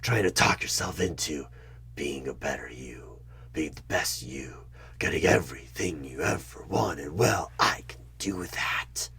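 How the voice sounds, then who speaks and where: An extremely low, raspy devil tempts the listener in a horror roleplay. He is menacing and coaxing, drawing words out slowly and slipping into breathy whispers. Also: expressive, heavily acted. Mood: persuasive, menacing. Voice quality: husky, breathy half-whisper. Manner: slow, menacing, coaxing, with sudden loud bursts. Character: sinister devilish tempter bargaining for a soul. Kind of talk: horror ASMR one-sided roleplay.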